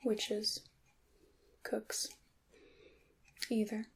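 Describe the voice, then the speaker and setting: A woman playing a gentle, knowing witch in an ASMR roleplay, speaking in a breathy half-whisper with deliberate, slightly formal phrasing. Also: medium pitch, flat delivery, heavily acted. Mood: hushed, instructive. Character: gentle, knowing witch teaching her craft. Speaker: ASMR performer.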